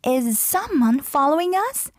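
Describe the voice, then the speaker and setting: A woman's voice: high-pitched, animated, a little slow, no echo, studio quality, voice pushed up. A woman voicing an anxious young storybook princess with a girlish, wide-eyed questioning manner. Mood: alarmed.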